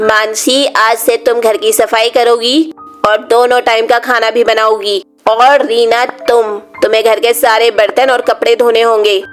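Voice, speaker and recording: Woman acting a cruel, scolding aunt, high-pitched. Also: level tone, a little slow, natural voice. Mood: harsh, bossy. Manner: sharp, scolding, contemptuous. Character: cruel, scornful aunt bullying two orphan girls. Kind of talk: children's animated story dialogue. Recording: music under the voice.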